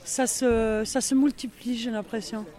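A man's voice: very high-pitched, fairly even intonation, measured pace, warm tone. A man interviewed about village life, talking casually and hesitating with many fillers. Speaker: villager interviewed on local radio. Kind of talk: street interview, casual talk.

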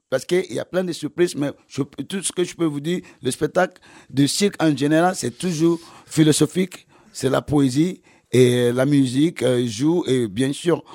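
Nigerian man, halting and filler-heavy. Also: fairly high pitch, a little mellow. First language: English. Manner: halting, many fillers and restarts. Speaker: festival organizer and artist. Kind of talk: radio interview.

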